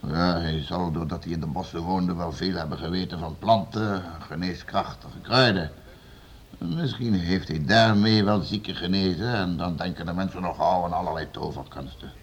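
A man's voice: sits low, normal speed, dull audio, a little hiss or hum. An actor playing a commanding, scornful nobleman in a radio play. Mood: self-assured. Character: stern, domineering male nobleman, bullying and sure of himself.

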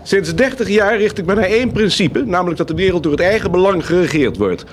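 A man's voice: high-pitched, expressive, fast talker, a little hiss or hum. A man acting an elderly banker and envoy in a radio play, formal and courteous, hesitant at times, with a shrewd streak. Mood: measured, shrewd. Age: older.

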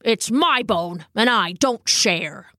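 A woman voicing a grumpy, greedy old male dog who talks in a gruff, growling way. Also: medium pitch, expressive, takes it slowly, bright, forceful tone, no echo, studio quality.

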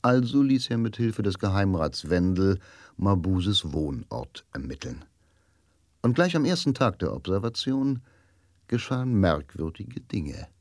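A man's voice: low-pitched, very animated, unhurried, darkish tone, dry recording, clean studio sound, full character voice. A man narrating a crime story in a radio drama, with sober, scripted literary delivery. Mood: sober, suspenseful. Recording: music behind the voice.

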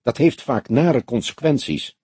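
A male narrator acts out an old police detective, courteous and gently mocking. The husky voice moves in lively swings with solemn, measured phrasing, in a dry audiobook recording. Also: medium pitch, dry recording, voice pushed up, heavily acted. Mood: soothing. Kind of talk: audiobook character dialogue.

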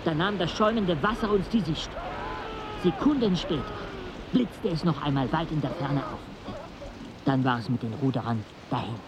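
A male actor as a travelling storyteller in a radio drama, with a slightly high voice. He recounts an expedition as narrator while loud storm and water effects surround him. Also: normal speed, background noise, lightly acted. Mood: grave, narrative.

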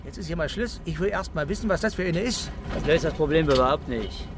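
Worked up village cop, high voice, argues bluntly, car noise behind, radio drama. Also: male voice, lively intonation, fast talker. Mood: heated. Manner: blunt, argumentative, colloquial. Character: agitated male village policeman, quarrelsome.

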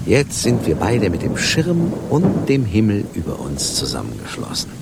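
An actor playing a fervent, morbidly romantic gentleman in a theatrical radio crime drama. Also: lowish voice, wildly swooping pitch, moderate pace, gravelly, very noisy, heavily acted. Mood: morbid rapture. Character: lovesick, morbidly romantic gentleman of 1917 Paris.

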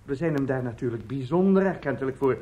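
A man's voice: medium pitch, lively intonation, fast talker, lo-fi sound, noisy background, natural voice. An actor playing a bustling, officious theatre man in a radio play, polite, fussy and hesitant, often repeating himself.

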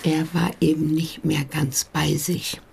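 An actress playing a blunt, dismissive village widow who talks curtly and colloquially in a radio play. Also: female voice, sits low, little pitch movement, unhurried, vocal fry, darkish tone, slightly rough, full character voice. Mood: bitter. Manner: curt, colloquial, disparaging. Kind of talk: German radio play acting.

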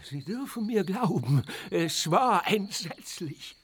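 Dramatic old eagle owl, rather high voice, formal, aggrieved, theatrical, children's radio play. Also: male voice, lively intonation, slow pace, fairly bright tone, a little hiss or hum, full character voice. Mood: dramatic indignation.

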